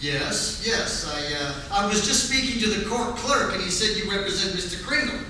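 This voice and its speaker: A man's voice: sits high, expressive, moderate pace, pushed and bright, slight background noise, heavily acted. A man playing a nervous, fawning store employee in a radio play, stammering obsequiously. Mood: anxious, eager. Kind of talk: 1940s radio play acting on stage. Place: stage of a community theatre. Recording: echoey theatre from far off.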